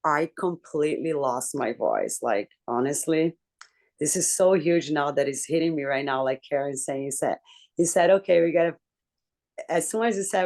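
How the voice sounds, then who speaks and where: Deep-voiced woman telling her story. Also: darkish tone. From Brazil. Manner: earnest, candid, reflective storytelling. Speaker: book club member. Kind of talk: personal story in a group discussion.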